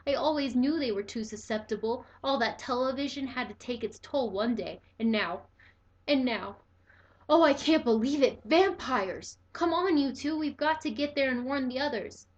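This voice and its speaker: A woman's voice: fairly high pitch, a little fast, few pauses, dull audio, natural voice. A woman voicing an alarmist dramatic cat. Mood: alarmed. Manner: breathless, urgent warnings. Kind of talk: storybook read-aloud.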